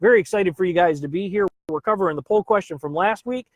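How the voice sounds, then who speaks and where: A chatty man hosting a live stream from home, his voice a touch higher than most men's. He keeps things upbeat, greets viewers by name and drops easy uhs between thoughts. The sound is close and dry. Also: fast, dry recording. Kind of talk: casual live stream chat.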